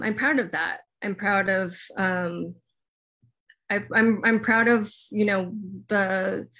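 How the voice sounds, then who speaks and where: Young woman, halting and filler-heavy. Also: on the low side, fairly bright tone. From United States. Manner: halting, lots of fillers and restarts. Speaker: guest. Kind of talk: personal interview answers.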